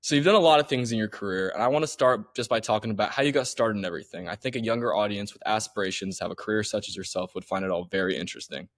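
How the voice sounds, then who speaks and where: A young male podcast host opens with a read introduction and then asks eager, upbeat questions. He talks quickly in a lowish voice. The recording is close and dry. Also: no echo.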